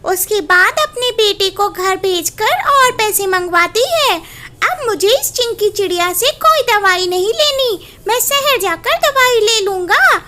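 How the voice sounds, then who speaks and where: A woman's voice: very high-pitched, animated, unhurried, pushed and bright, exaggerated voice. A woman voicing a fussy, talkative male parrot in a cartoon story, who talks in a chatty, grumbling way. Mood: indignant.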